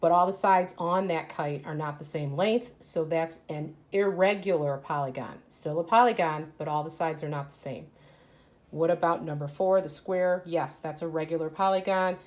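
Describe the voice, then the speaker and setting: Woman teacher with a lower-pitched voice. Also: moderate pace, a little mellow. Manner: explanatory, asking and answering her own questions. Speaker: elementary school teacher. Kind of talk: read-aloud lesson for children.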